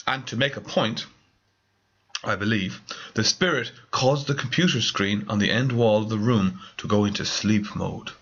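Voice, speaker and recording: A man reading scripted comic narration with formal, theatrical deadpan. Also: lowish voice, moderate pace, muffled recording. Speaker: author reading own story.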